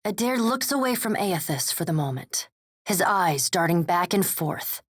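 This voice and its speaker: A woman playing a solemn storyteller, reading scripted video game narration in a measured, grave, descriptive manner. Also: fairly low pitch, very animated, breathy edge, dry recording, clean studio sound. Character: literary female narrator, solemn, observant storyteller.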